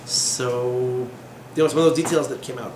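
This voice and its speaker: A man's voice: medium pitch, fairly even intonation, moderate pace. A man in his thirties teaching a class in a small room, talking informally with digressions, fillers and sardonic humor. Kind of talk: informal lecture with asides and jokes. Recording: echoey room with background noise.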